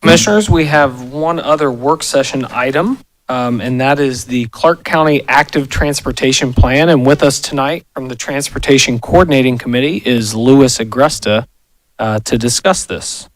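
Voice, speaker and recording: A man's voice: medium pitch, moderate pace, no echo, low-quality recording. A man at a city commission meeting, briefly and plainly introducing the next agenda item and its speaker. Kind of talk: public meeting.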